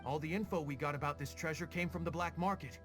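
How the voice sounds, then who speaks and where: An earnest small-time rogue played by a man, keen to please and humbly deferential. His game-character delivery is excitable yet unsure of itself, with music underneath. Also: a little high, fast, close to an everyday voice. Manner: earnest, fawning, excitable, hesitant. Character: eager, deferential male rogue seeking to go straight. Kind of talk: video game voice acting.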